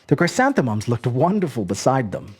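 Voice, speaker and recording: Husky, dramatically swooping storyteller. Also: medium pitch, faint music behind. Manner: expressive, measured, dramatic. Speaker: storyteller, host. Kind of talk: scripted narration of a short story.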